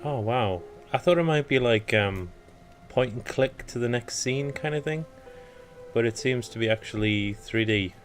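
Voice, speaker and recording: A man with a croaky voice casually commentating gameplay, rambling and thinking aloud. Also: medium pitch, normal speed, soft background music. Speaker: let's play commentator.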